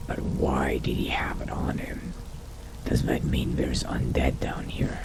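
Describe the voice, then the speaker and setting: A man voicing a brooding, curious young vampire adventurer in a video game roleplay, musing to himself in a slow, hushed, gravelly whisper. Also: monotone. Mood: musing, wary. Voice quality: very deep, breathy, gravelly whisper. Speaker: roleplay gamer.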